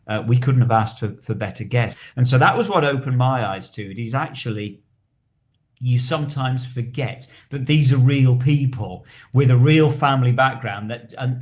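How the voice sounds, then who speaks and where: A man with a medium-pitched voice chats as a podcast co-host. He speaks earnestly and casually, feeling his way through sentences and often doubling back on words. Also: darkish tone. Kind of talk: casual chat.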